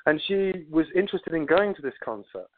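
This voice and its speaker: A man's voice: on the high side, expressive, fairly quick, a little hiss or hum. Man with a Manchester accent on a phone line. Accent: Manchester English. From England. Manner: rambling, repeats words when upset. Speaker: guest. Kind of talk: casual phone interview. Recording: dry tinny phone line.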